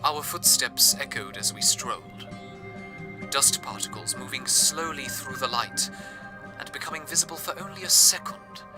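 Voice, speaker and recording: A man's voice: mid-range voice, animated, moderate pace, loud music behind the voice, natural voice. A man playing an awestruck, devoted radio broadcaster, narrating in an earnest, reverent, descriptive way. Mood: wistful, descriptive. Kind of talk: scripted first-person radio narration.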